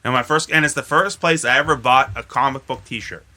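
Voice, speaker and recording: Bright, forceful man chatting casually. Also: medium pitch, fairly quick. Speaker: podcast co-host. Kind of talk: casual chat with friends.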